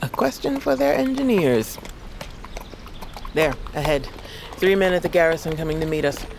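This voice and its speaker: Elderly grandmother envoy: wise, wary, formal woman, audio drama with clear background ambience. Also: low voice, lively intonation, moderate pace, background noise. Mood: measured counsel. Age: older. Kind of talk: dramatic audio fiction dialogue.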